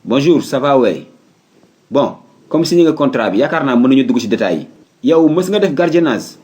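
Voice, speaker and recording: A man's voice: mid-range voice, very animated, moderate pace, darkish tone, natural voice. A man playing a breezy, matter-of-fact employer with a Senegalese accent in a comedy cartoon. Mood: businesslike. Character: breezy male employer hiring a night watchman.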